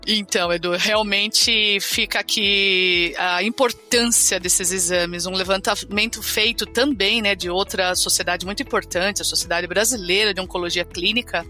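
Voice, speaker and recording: A woman's voice: mid-range voice, pushed and bright. A woman co-hosting a health podcast, chatty and upbeat, often tagging her remarks with a questioning aside. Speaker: podcast co-host. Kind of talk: casual health podcast chat.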